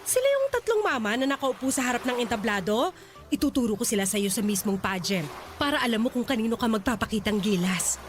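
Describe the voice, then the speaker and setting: A woman's voice: on the high side, sing-song, fast, slight background noise. A woman with a Filipino accent voicing a domineering, pushy middle-aged stage mother in a radio drama, bossy and insistent. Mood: coaxing. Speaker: voice actor.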